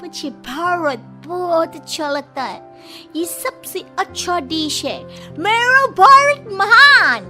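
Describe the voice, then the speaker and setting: A woman's voice: very high voice, animated, takes it slowly, pushed and bright, soft background music, heavily acted. A woman voicing a sweet, childlike and easily upset young daughter-in-law in a Hindi cartoon story. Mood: sweet, earnest.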